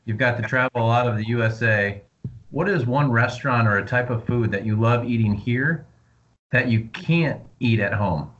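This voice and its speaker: A man's voice: mid-range voice, moderate pace, fairly bright tone. A man co-hosting a podcast, making brief dry quips and asking the guest a question. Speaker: podcast co-host. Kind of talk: casual chat. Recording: dull lo-fi mic.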